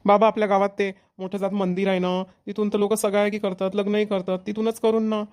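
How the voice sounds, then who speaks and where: A woman's voice: mid-range voice, level tone, a little fast, darkish tone, close to an everyday voice. A woman voicing an easygoing, helpful young village son in a cartoon comedy, plain and matter-of-fact, with a Varhadi Marathi accent. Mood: explaining.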